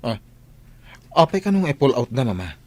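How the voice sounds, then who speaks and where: A man's voice: mid-range voice, sing-song, moderate pace, close to an everyday voice. A man playing an impatient, determined young wealthy employer in a radio drama, with an Ilocano accent. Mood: firm.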